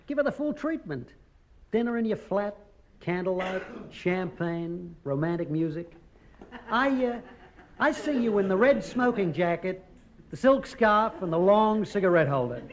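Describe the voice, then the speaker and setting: A brash schemer with a slightly high voice. He gives confident, persuasive advice like a pushy pal, in the acting style of 1950s radio comedy. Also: lively intonation, unhurried. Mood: scheming. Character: brash, scheming male pal coaching a romance.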